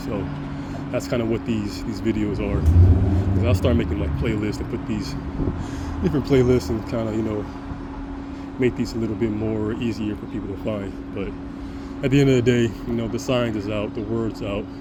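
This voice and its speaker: A man with a deep voice giving an earnest, rambling monologue to camera, restarting and stammering often. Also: fairly even intonation, moderate pace, heavy background noise. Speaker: vlogger.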